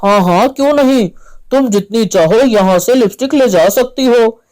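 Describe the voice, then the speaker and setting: A woman's voice: a little high, normal speed, a little mellow, lightly acted. A woman voicing a proud, grumpy talking tree in a children's story, with a haughty, curt manner. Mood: obliging.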